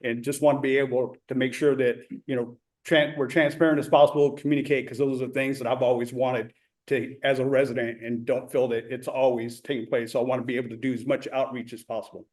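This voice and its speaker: A man introducing himself as a board candidate at a public meeting, earnest and hesitant, pausing often. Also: medium pitch, level tone, a little mellow. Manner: earnest, with frequent "uh" fillers. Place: community meeting room.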